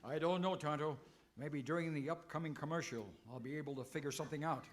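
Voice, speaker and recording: An American actor playing an exasperated, earnest masked cowboy hero in a comic old-time radio western. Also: male voice, a little high, fast, natural voice. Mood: pleading, earnest. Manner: earnest, long-suffering.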